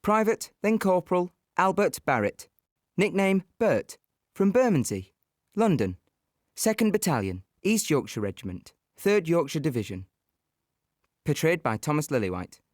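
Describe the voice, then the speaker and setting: Lively-pitched man on a dry recording. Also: moderate pace, dry recording. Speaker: actor announcing a character. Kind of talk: scripted cast introduction.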